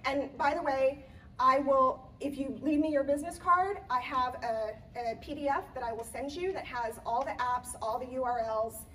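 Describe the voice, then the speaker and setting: A slightly hoarse woman gives a chatty conference talk, pitched a little high. She fills pauses with ums and restarts. She sounds a bit distant from the mic, with faint room ambience behind her. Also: fairly bright tone, slight background noise. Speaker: event planner giving a conference talk. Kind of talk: live presentation to an audience.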